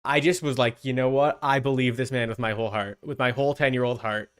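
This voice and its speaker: A man's voice: medium pitch, steady tone, fast, a bit bright, clean tone. A young man giving casual reaction commentary as a streamer, informal and exclaiming as he thinks aloud.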